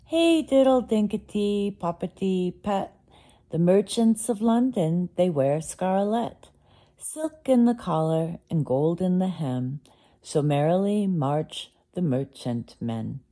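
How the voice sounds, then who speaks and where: A woman voicing a chorus of tiny cheeky mice, chanting nursery rhymes in a high sing-song. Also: animated, slow pace, dark tone. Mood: teasing. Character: tiny shrill singing mice, cheeky and gleeful.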